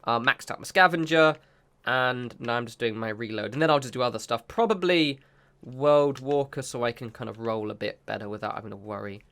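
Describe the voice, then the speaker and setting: A man's voice: medium pitch, brisk, creaky, fairly bright tone, clear, resonant tone. A man casually commenting on a game he is playing, sniffly from a recent cold, rambling and correcting himself as he goes. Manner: rambling, self-correcting, chatty asides. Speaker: gaming channel host.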